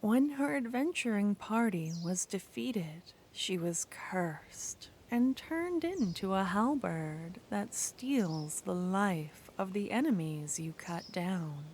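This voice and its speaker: A woman narrating an ASMR roleplay story in a soft-spoken, gently whispering manner. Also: medium pitch, lively intonation, slow, few pauses, a little hiss or hum.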